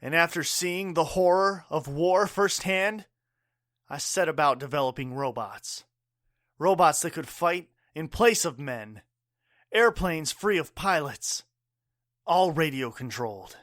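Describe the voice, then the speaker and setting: A man acting a wounded, bitter father who is secretly a robot-building villain in a pulp radio drama, speaking haltingly and melodramatically. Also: a little high, lively intonation, a bit bright, no room sound, studio quality. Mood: menacing, angry.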